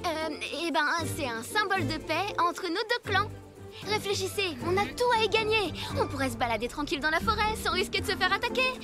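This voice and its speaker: Earnest, high, lively cartoon boy. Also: brisk, music in the background. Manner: earnest, persuasive. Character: friendly, hopeful, trusting young boy hero. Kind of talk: animated cartoon dubbing.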